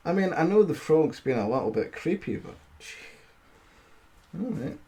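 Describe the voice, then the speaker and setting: Male livestream storyteller: unhurried, soft-edged mid-pitched voice, stumbling, self-mocking, crude casual asides. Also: very animated. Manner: self-deprecating, stumbling over words. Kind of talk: live fairy-tale reading with crude casual asides.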